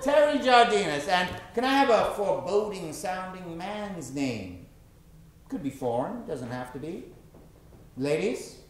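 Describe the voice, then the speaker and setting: A man's voice: sits high, big pitch swings, unhurried, echoey room. A man hosting a live improv show from a theater stage, with theatrical, showman-like patter for the audience. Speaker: host.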